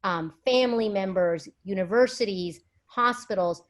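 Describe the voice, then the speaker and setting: A woman's voice: mid-range voice, normal speed. A middle-aged woman speaking as a physician at a virtual summit, explaining in an articulate, conversational way with emphasis and rhetorical questions.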